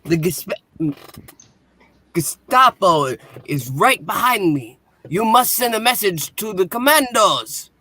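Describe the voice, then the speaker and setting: A man's voice: high voice, big pitch swings, slow pace, sharp tone, exaggerated voice. A man voicing wartime resistance fighters and a commando officer with a put-on French accent, declaiming theatrically. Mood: urgent.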